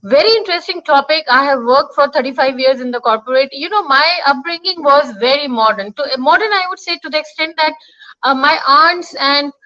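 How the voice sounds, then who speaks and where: High-pitched woman from india in her 50s, measured and hesitant, muffled lo-fi call audio. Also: pushed and bright. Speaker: guest. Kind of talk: casual video call discussion. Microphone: video call. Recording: dull lo-fi video call audio.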